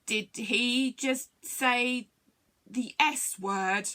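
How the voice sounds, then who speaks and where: A woman voicing excitable, easily frightened slug-like aliens in a children's story, with dramatic exclamations. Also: a little high, drawn-out words, pitched above the performer's own voice, exaggerated voice. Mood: horrified.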